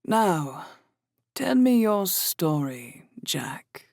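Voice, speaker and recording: A man's voice: high-pitched, big pitch swings, gravelly, dry recording, studio quality, pitched above the performer's own voice, full character voice. A man putting on a purring, mysterious older innkeeper woman. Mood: amused, purring. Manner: slow purring hushed delivery. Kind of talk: audiobook character voice.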